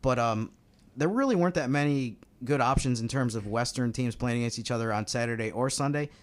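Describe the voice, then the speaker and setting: A man's voice: medium pitch, brisk. American man talking basketball casually. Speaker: sports podcast co-host and analyst.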